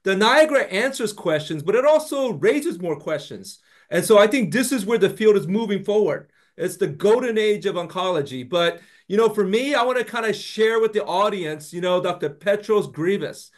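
A man's voice: sits high, lively intonation, moderate pace. A middle-aged man hosting an interview on a video call, casual and enthusiastic, often saying "you know" and "like". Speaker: host. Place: home office, video call. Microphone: desk microphone.

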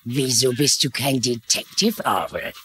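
An actor playing a domineering, calculating gambling boss in a dubbed TV mystery, speaking in a commanding, cryptic manner. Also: male voice, mid-range voice, unhurried, fairly bright tone, slight background noise, lightly acted. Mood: cool, commanding.

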